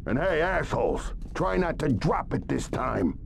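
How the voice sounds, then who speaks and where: An actor playing a callous, wisecracking gangster in a video game cutscene. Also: male voice, on the high side, big pitch swings, a little slow, gravelly, a little hiss or hum, heavily acted. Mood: mocking. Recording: music behind.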